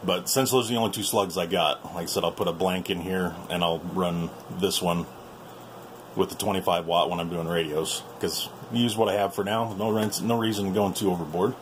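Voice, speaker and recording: Man, low voice, informal folksy hobbyist host, casual tour commentary, clear background noise. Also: steady tone, moderate pace, low-quality recording. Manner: informal, folksy, addressing viewers. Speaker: video host and radio hobbyist. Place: small indoor workshop.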